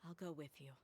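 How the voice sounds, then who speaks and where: An actress playing a tough, stoic young desert mercenary in a video game, firm and matter-of-fact. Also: female voice, on the low side, background noise, exaggerated voice. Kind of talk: dramatic video game voice acting.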